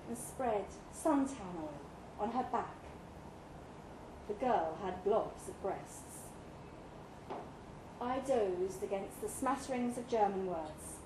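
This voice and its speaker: Woman reading literary fiction out loud at a live event, really varied pitch, echoey noisy room. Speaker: story reader. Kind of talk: live literary short story reading, first-person narration. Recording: roomy live pub recording, clear background noise.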